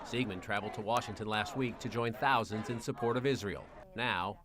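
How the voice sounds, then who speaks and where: A man's voice: on the low side, fairly quick, crowd noise. A man narrating a scripted news report as a correspondent.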